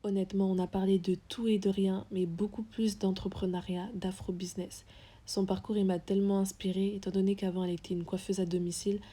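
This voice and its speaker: A woman's voice: mid-range voice, level tone, brisk, dark tone. A young woman vlogger, a client and fellow hairdresser, chatting friendly and giving short replies. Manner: friendly, many short backchannels. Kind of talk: casual chat and voice-over.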